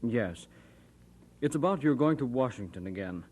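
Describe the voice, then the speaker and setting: An actor playing a worried church parishioner in a radio drama, talking in a halting, formal, uneasy way. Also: male voice, mid-range voice, expressive, a little mellow, husky. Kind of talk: old-time radio drama acting. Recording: noisy vintage radio transcription.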